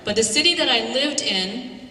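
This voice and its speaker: Lady professor telling her story at a talk, bright voice, far off in a big echoey hall. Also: a little high, expressive, moderate pace. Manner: personal, conversational storytelling with fillers. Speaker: guest speaker, professor. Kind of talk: symposium lecture. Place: large lecture hall.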